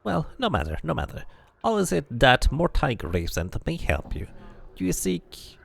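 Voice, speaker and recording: A man's voice: mid-range voice, wildly swooping pitch, moderate pace, creaky, breathy edge, no echo, pitched above the performer's own voice, exaggerated voice. A man voicing a tiny, wizened, fidgety man in his nineties, an evasive official with fussy, old-fashioned formal speech for a game. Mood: courteous, eager.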